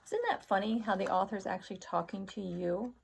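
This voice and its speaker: A woman's voice: mid-range voice, fairly quick, gravelly, a little hiss or hum. A woman speaking as a teacher to children, explaining a story and posing questions in asides. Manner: explains and poses questions to young listeners. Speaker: teacher reading aloud to children. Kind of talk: read-aloud lesson with explanatory asides.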